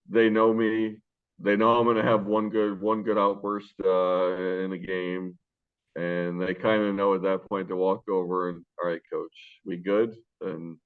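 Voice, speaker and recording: A man with a very low, deep voice talks casually about coaching, with many fillers and restarts. His voice comes through thin and narrow, like a phone line. He is loud and carries well. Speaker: high school basketball coach. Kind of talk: casual interview answers. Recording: tinny phone-line sound.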